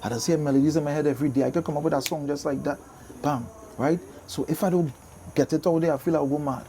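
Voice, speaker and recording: A man's voice: fairly high pitch, quick pace. A man in his fifties with a Trinidadian accent, chatting casually as an interview guest, emphatic and often repeating his phrases. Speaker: interview guest, soca singer and DJ. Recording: steady background noise.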